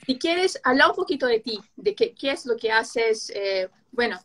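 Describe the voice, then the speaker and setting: A woman's voice: a little high, very animated, normal speed, a bit bright. A woman from Brazil with a Uruguayan-Argentine Spanish accent and Portuguese as her first language, hosting a casual live-stream chat. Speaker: host.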